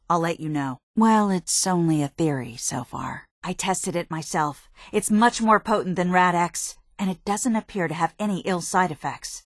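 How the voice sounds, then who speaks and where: An actress playing a dedicated, drily impatient military research scientist in a video game, precise, matter-of-fact and slightly prim. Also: female voice, lowish voice, dry recording, studio quality, close to an everyday voice. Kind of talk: video game character dialogue.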